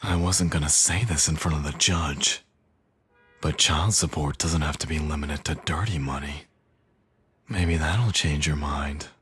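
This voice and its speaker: A man's voice: a bass voice, measured pace, a bit bright, husky, exaggerated voice. A man playing a cocky villain in an intimate audio roleplay, with a teasing, smug, flirtatious drawl. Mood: persuasive, coaxing.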